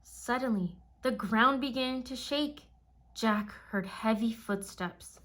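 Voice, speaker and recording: A woman narrating a children's storybook aloud in a measured, expressive storytelling manner. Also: a little high.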